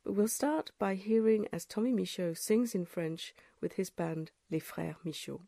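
A woman narrates a documentary from a script as its presenter. Her voice is mellow and gravelly with fry, recorded close and very dry. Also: mid-range voice, normal speed, no room sound. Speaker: presenter, interviewer.

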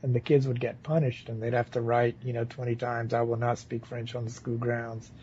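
An American man from southern Louisiana, speaking as an interviewee in a casual, halting, rambling and informal way. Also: medium pitch, level tone, dark tone, slight background noise. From southern Louisiana, United States. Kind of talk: casual spoken recollection. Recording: slightly dull, lo-fi interview recording.

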